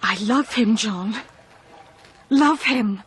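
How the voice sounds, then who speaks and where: An actress playing a loyal, defensive young wife in a radio drama, speaking in a polite, firm manner. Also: female voice, a little high, extremely slow, noisy background. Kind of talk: 1990s radio drama acting.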